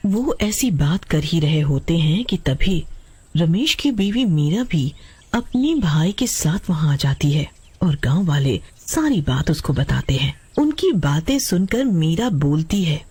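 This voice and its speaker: A woman with a husky voice narrating a scripted animated story. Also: low voice, a little slow.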